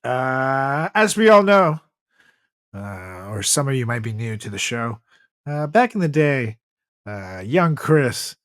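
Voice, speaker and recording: A man's voice: mid-range voice, big pitch swings, takes it slowly, fairly bright tone, no room sound, clean studio sound. A man hosting a podcast in casual, joking chat, often repeating his own phrases. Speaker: podcast host.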